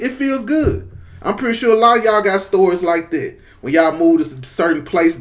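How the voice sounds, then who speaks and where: Blunt man in his forties. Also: on the high side. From Mississippi, United States. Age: 40s. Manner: blunt, mocking, animated storytelling. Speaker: commentary host. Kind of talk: reaction commentary.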